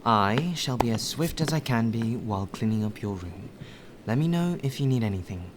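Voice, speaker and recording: A man acting as a polite, deferential male butler in a soft, formal ASMR audio roleplay. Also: medium pitch, lively intonation, noisy background, natural voice. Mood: obliging. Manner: formal, deferential, measured, sighing.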